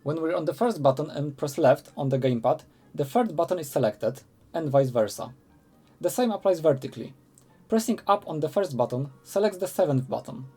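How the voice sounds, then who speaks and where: Male tutorial narrator, slightly high voice, very mellow tone, measured scripted delivery over background music. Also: animated, slightly rough.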